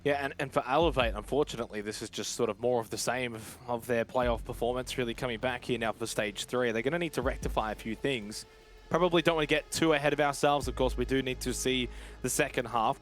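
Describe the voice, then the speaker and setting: A man calling live esports play-by-play, recapping rounds and scores in a conversational way. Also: medium pitch. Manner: conversational, calls action and recaps. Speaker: play-by-play caster.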